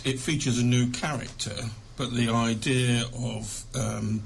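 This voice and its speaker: A man answers questions as an interviewed author, chatty and self-deprecating. His mid-pitched voice is notably creaky, with faint background noise. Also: fairly even intonation, a little slow. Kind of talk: conversational interview.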